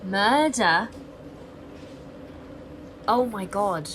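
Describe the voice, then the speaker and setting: An earnest, authoritative female detective played by a woman in a naturalistic audio drama. She speaks slowly at a middling pitch, with hesitant pauses. There is faint noise behind her. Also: expressive, a bit bright. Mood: shocked, disbelieving. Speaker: actor in an audio play.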